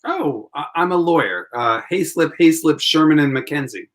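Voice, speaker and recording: A man plays a young lawyer in an old-time radio drama. He is wry and a bit lovelorn, with an animated delivery that moves up and down a lot. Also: fairly high pitch, normal speed, lightly acted. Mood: casual, wistful. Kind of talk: 1940s radio acting.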